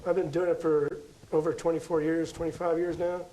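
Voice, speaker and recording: Male interview guest, American, fairly high and very mellow voice, casual explainer. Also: little pitch movement, fairly quick, rough recording. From Las Vegas, United States. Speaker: guest astrology card reader.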